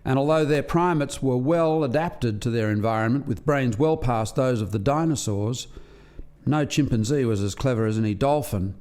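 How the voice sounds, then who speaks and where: A male actor plays an earnest alien researcher in a radio drama. He has a middle-pitched voice and explains his experiments formally and articulately, like a briefing to colleagues. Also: normal speed, darkish tone, close to an everyday voice. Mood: earnest, storytelling. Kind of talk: radio play acting.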